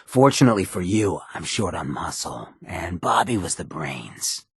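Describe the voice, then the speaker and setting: An actor playing a roguish, charismatic ghoul mayor with a gravelly voice and a sly, streetwise drawl, in video game dialogue. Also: male voice, sits low, very animated, normal speed, dry recording, clean studio sound, heavily acted. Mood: quietly menacing. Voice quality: gravelly, raspy. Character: charismatic irradiated ghoul mayor, roguish, swaggering outlaw leader.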